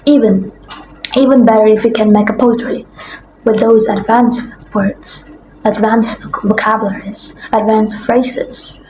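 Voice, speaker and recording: A woman with an Indonesian accent, a native Indonesian speaker, giving earnest, encouraging advice in a casual vlog and pausing to search for words. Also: a little high, steady tone, a little mellow. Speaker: language vlogger. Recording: roomy echo with faint background noise.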